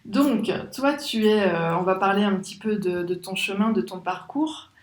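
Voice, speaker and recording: A woman's voice: mid-range voice, normal speed, echoey room. A woman hosting a podcast who introduces the show and her guest, then asks questions conversationally, with frequent fillers. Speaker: podcast host.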